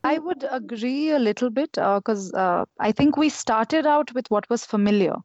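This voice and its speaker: A woman's voice: fairly high pitch, expressive, a little mellow, dry recording. Candid woman, dry and muffled. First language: Maithili. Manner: candid, measured, many hesitations. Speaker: newsletter co-founder, guest. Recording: dull, dry lo-fi sound.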